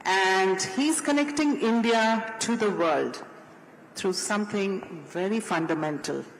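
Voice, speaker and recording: Slow formal moderator in an echoey hall. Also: mid-range voice. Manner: formal, deliberate introductions. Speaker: panel moderator. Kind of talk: panel moderation. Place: large conference hall. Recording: echoey hall with faint room noise.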